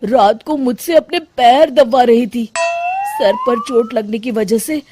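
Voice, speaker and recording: A woman's voice: a little high, very animated, slow pace, background noise. Woman voicing a flustered henpecked young husband. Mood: worried. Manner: flustered, placating. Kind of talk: Hindi cartoon story voice acting.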